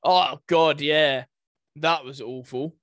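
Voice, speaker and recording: A man's voice: a little high, fairly even intonation, slow pace, fairly bright tone, no echo, clean studio sound. A man in casual podcast conversation as co-host, thinking aloud with some hesitation. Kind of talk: casual chat about video game movies.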